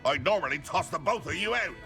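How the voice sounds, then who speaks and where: Gruff mocking barkeep for a video game, very sharp gravelly voice, menacing. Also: male voice, a little high, animated, fast talker, faint music behind, full character voice. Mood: gruff, amused. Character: gruff, menacing pub keeper with mocking humour. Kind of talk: video game character acting.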